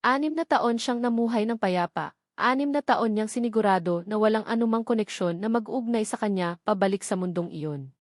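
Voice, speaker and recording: A woman's voice: medium pitch, brisk, no echo, studio quality. A woman with a Filipino accent narrating a scripted love story in a dramatic storytelling style.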